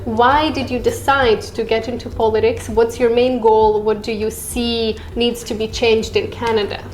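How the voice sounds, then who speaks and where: Woman asking interview questions, encouraging and friendly, kinda high voice. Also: level tone, moderate pace, slight background noise.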